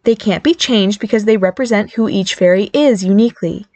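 A woman's voice: sits high, very animated, moderate pace, darkish tone, dry recording, pitched above the performer's own voice. A woman voicing a firm fairy mother in an audiobook, insistent and stern yet sympathetic. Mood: stern, impassioned. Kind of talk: audiobook character acting.

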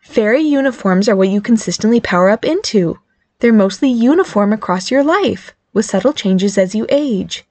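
A woman's voice: medium pitch, expressive, moderate pace, a little mellow, no room sound, lightly acted. A woman voicing a firm fairy mother in an audiobook, insistent and stern yet sympathetic. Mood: stern, impassioned. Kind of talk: audiobook character acting.

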